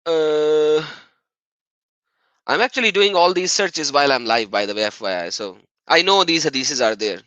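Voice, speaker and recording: Animated man, bright forceful voice. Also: on the high side, normal speed, no echo, dull audio. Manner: mocking, argumentative, repeats phrases for emphasis. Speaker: live stream host. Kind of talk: religious debate live stream commentary.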